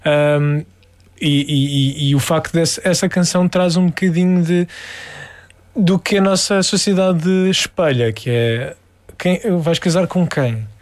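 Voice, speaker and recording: Portuguese guy, musician in an interview, kinda high voice, lots of ums and restarts, dry close sound. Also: steady tone, unhurried, a little mellow, dry recording. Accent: European Portuguese. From Algarve, Portugal. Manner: hesitant, many fillers and restarts. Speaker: musician guest. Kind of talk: casual interview answers.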